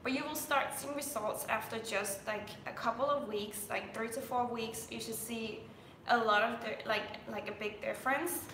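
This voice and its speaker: A woman's voice: a little high, fairly even intonation, normal speed, a bit bright, echoey room, a little hiss or hum, rough recording. A young woman from Denmark, a fitness coach chatting on a live stream, full of fillers and restarts.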